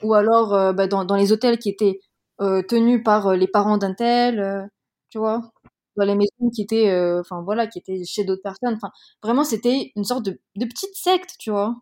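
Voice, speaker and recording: A woman's voice: mid-range voice, normal speed, darkish tone. A young woman from Madagascar, interviewed in a casual talk, speaking frankly and heatedly with many fillers and restarts. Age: young adult. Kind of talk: casual conversation.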